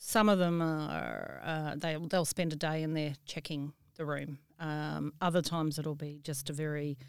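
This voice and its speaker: A woman telling work stories casually as an interview guest, in an easygoing, halting way. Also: sits low, lively intonation, vocal fry, clear, resonant tone, dry recording, studio quality. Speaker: interview guest, event venue professional. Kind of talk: casual conversational anecdote telling.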